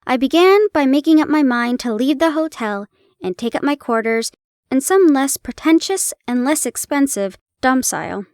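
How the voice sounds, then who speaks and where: High-voiced woman reading a story aloud. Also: moderate pace, no room sound, clean studio sound. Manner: calm, steady storytelling, laughs at herself. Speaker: audio roleplay narrator.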